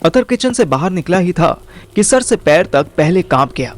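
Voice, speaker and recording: A man's voice: high voice, very animated, normal speed, slight background noise. A man narrating an audio story in the first person, colloquial and dramatic, mixing in slang. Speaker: narrator and host.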